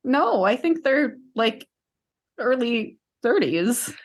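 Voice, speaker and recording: A woman's voice: fairly high pitch, big pitch swings, slow. A woman in her thirties co-hosting a podcast, talking casually about books in a conversational way. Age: 30s. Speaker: podcast co-host. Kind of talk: casual book discussion chat.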